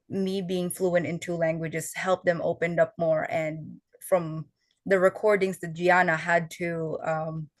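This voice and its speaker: A woman's voice: fairly low pitch, dry recording. A young woman whose first language is Tagalog, earnestly explaining her oral history work in a conversational interview, with some fillers. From Filipino family.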